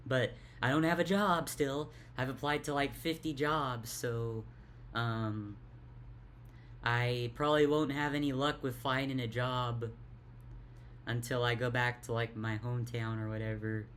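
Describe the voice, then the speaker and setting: A young woman with a deep voice talks in a tired, halting way, stuttering and repeating words in a casual confessional vlog. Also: steady tone, slight background noise. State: tired, worn out. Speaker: vlogger.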